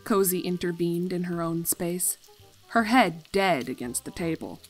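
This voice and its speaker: A woman reading a fan fiction story aloud as an expressive narrator. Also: fairly low pitch, unhurried, soft background music, low-quality recording.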